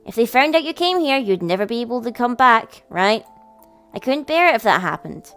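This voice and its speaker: A woman's voice: a little high, very animated, a little fast. Tender, reassuring big brother in a story game, sincere and light-voiced, read by a woman, music behind. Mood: earnest. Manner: gentle, sincere. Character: caring, earnest older brother, tender and reassuring. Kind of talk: visual novel character voice in a let's play.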